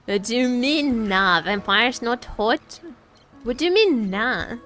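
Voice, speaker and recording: A woman's voice: on the high side, lively intonation, slow pace, a bit bright. A woman chatting casually as she livestreams a game, playfully repeating her phrases to viewers.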